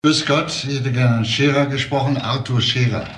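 An actor playing a calm, persistent homicide detective who asks short, matter-of-fact questions in a crime drama. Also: male voice, mid-range voice, normal speed, a little hiss or hum, natural voice. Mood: businesslike.

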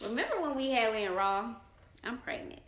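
Woman playing a sassy, bright-voiced demanding girlfriend. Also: a little high, very animated, moderate pace, slight background noise. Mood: announcing. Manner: sassy, blunt, slangy. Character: brash pregnant young girlfriend, demanding and scornful. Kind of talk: amateur comedy table read. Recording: roomy, echoey room.

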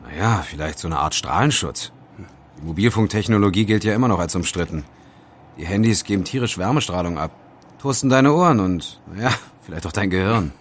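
A man's voice: deep voice, animated, fairly quick, a little hiss or hum. An actor playing a sceptical, teasing young male student sidekick in an audio drama, casual and wry. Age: young adult. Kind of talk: German audio drama acting.

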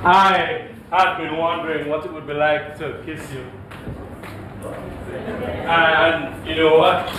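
A smitten, middle-aged Jamaican husband in a stage comedy. His speech is slow and hesitant, tripping over itself. The recording is distant and echoey, with clear noise. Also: fairly high pitch, level tone. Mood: smitten, teasing. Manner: hesitant, stammering. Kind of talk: live stage comedy-drama acting. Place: indoor theatre stage before an audience. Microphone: distant stage or camera mic. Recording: echoey theatre stage, distant mic, noisy.

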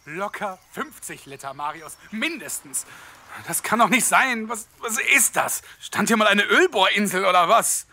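A man playing an excitable, grumbling young podcaster in a German audio drama, bantering casually. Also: sits high, a little slow, heavily acted. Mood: agitated.

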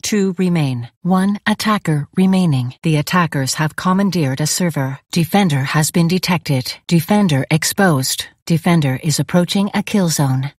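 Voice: mid-range voice, dry recording, clean studio sound, lightly acted. A performer voicing an impassive synthetic AI announcer for a video game, giving terse, formal status alerts in a detached, robotic way. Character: impassive synthetic AI announcer of a futuristic combat facility.

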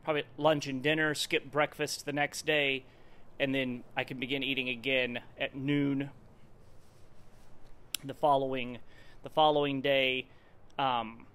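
Clear-voiced man chatting casually. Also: medium pitch, level tone, a little slow, long pauses, a bit bright. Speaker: fitness vlogger. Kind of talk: casual vlog talk. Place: wooden garage with the door open.

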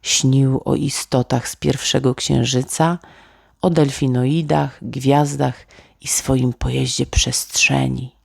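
Low, slow woman storyteller with creaky edge. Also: steady tone, no echo, studio quality. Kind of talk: children's bedtime story narration.

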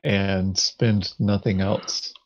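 Very slow-talking man, dull lo-fi recording. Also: low-pitched, flat delivery. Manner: halting, thinking aloud. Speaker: board game player. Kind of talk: casual tabletop game chat.

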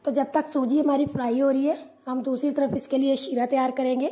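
A woman hosting a cooking channel, calmly giving step-by-step instructions in a tutorial voice-over. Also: sits high, a little fast.

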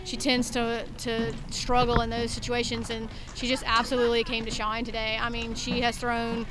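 Woman in her 30s, softball coach, candid postgame talk, flat even pitch, slightly high voice, outdoors by a field, handheld mic. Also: moderate pace. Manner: candid, talks through her thoughts with restarts and "I think". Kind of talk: postgame interview. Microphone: handheld mic held in front of her.

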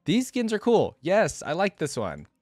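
A man's voice: high-pitched, huge pitch swings, unhurried, a little mellow, no echo. A young adult man giving casual, chatty reaction commentary, thinking aloud and sharing his opinions as host. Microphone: close desktop microphone, headset on.

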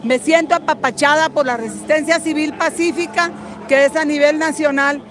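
High-pitched, defiant older woman activist. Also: steady tone, normal speed. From Guatemala. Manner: combative, defiant, salty-tongued. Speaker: political activist being interviewed at a rally. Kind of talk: impassioned street political interview. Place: busy city street outdoors. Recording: outdoor street crowd noise, music behind.

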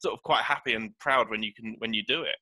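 A young man in his twenties hosts a video-call interview on a headset, talking fast and eagerly, tripping over his words. His voice is very bright and sharp. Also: lowish voice, low-quality recording. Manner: eager, effusive, stumbling restarts. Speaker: interviewer host. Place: home room, indoors.

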